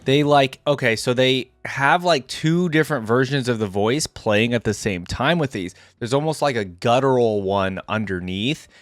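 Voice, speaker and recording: A man's voice: medium pitch, moderate pace, few pauses, dry recording. A man hosting a reaction video, giving casual, conversational commentary and thinking aloud. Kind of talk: casual commentary on game voice lines.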